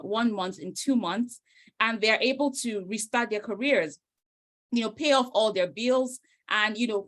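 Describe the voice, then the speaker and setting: Nigerian-born woman hosting a webinar. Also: medium pitch. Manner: enthusiastic, warm, uses many "you know" fillers. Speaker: host, career coach. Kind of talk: webinar introduction. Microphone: video call.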